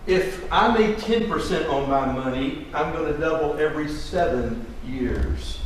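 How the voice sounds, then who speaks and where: A man's voice: on the high side, unhurried. A middle-aged man, a financial advisor, giving a folksy classroom presentation and asking the audience questions. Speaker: financial advisor teaching a seminar. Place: large echoey room. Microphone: room mic from a distance. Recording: echoey room, distant mic, faint hiss.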